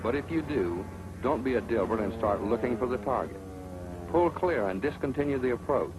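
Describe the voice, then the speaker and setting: A man's voice: mid-range voice, lively intonation, normal speed, music in the background, rough recording. A man narrating a military training film with a stern, scolding, instructive manner. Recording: old film soundtrack with hiss.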